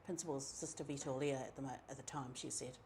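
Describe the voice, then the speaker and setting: A middle-aged woman from New Zealand with a New Zealand accent, telling her own story in a relaxed, chatty way, with many ums and asides. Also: a bass voice, quick pace, a little hiss or hum. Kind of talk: casual personal storytelling.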